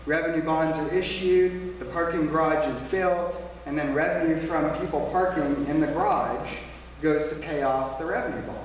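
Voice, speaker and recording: Man, debate speaker, projects a big voice, fairly high-pitched, echoey hall, heard from far off. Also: steady tone, moderate pace, slight background noise. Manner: emphatic, plain-spoken, repeats slogans. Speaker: debate speaker for a taxpayers' group. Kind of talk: public debate speech. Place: small church meeting room.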